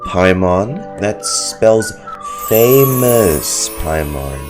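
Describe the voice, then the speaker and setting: A woman streamer with a very low voice reacts casually to a game. She talks slowly but with lively swings, joking, sarcastic and quick to swear, over clear game music. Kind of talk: casual live reaction commentary.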